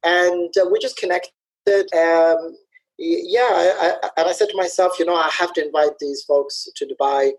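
A man's voice: a little high, steady tone, moderate pace, slightly rough, poor audio. A man from Somalia being interviewed over a video call, talking in an easygoing way with many fillers and light laughs. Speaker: interview guest, festival organizer. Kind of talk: casual conversational interview.

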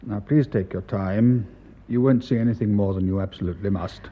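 An actor playing a solicitous, rather fussy official doctor in a radio drama, speaking formally with an educated English accent. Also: male voice, lowish voice, steady tone, slightly rough, muffled recording. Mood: officious. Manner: measured, polite, officious.

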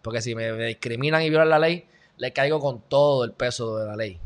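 A man's voice: mid-range voice, fairly quick. A man from Puerto Rico with a Puerto Rican accent, giving loose, joking commentary on the news to his viewers. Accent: Puerto Rican Spanish. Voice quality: loose, chatty, joking asides. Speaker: video host.

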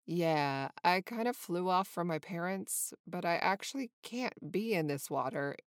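A woman voicing a calm, friendly young male sandpiper in a children's story, with a laid-back, deadpan manner. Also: fairly low pitch, measured pace, no echo, studio quality.

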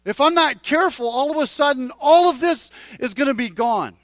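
A man in his forties preaches in a relaxed, conversational way, often circling back and repeating himself as he talks directly to the people in front of him. His voice sits very high for a man. It sounds close and dry, with almost no room around it. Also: no echo. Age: 40s. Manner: halting, repeats and restarts phrases, speaks straight to the congregation. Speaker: pastor. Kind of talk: conversational sermon.

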